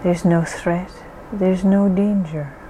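Woman, guided-meditation guide: very slow, mellow, reassuring, faint hiss behind. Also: fairly low pitch, steady tone, low-quality recording. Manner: soothing, reassuring, deliberate pauses.

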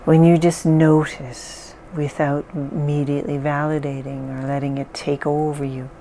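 A woman leading a guided mindfulness exercise, speaking calmly and softly with long pauses. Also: low-pitched, a little hiss or hum. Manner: slow, calm, soft-spoken guidance with pauses. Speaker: therapist guiding an exercise.

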